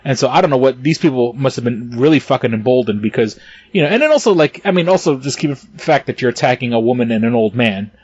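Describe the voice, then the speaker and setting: A man's voice: mid-range voice, expressive, fast, darkish tone, slightly rough, no echo, lo-fi sound. A man co-hosting a casual podcast, talking in a heated, profane way with stumbling restarts and bursts of laughter. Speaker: podcast co-host.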